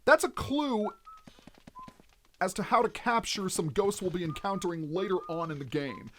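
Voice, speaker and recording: A man's voice: high voice, normal speed, a bit bright, a little hiss or hum. A man giving video game let's play commentary in a theatrical, explanatory manner as the host. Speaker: let's player, host of the series.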